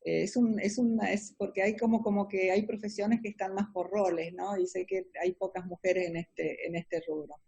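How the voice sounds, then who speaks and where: A middle-aged Argentine woman with an Argentine Spanish accent, answering interview questions about her business in an explanatory way, often pausing on fillers. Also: mid-range voice, fairly even intonation, gravelly, mellow, slightly rough. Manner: explanatory, hesitant with many fillers. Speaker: businesswoman guest.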